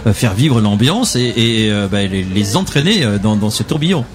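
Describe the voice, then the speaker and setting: A man's voice: on the low side, expressive, moderate pace. A man hosting a festival radio show, upbeat and encouraging as he introduces the team and guest. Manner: upbeat, encouraging, laughs along. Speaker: radio host.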